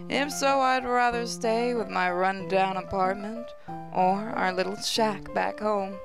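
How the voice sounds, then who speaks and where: A woman's voice: mid-range voice, gravelly. A woman gaming host reading visual novel narration aloud, stumbling over the odd word as she goes. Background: prominent music.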